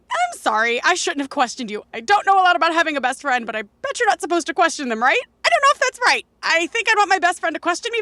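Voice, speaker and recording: High, swooping, quirky young techie. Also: female voice, pushed and bright, no echo, full character voice. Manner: chatty, awkward, eager to please. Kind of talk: audio drama acting.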